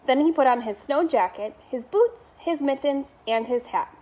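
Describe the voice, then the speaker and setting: A woman's voice: high voice, lively intonation, moderate pace, darkish tone. A woman reading a children's bedtime story aloud as a member of school staff.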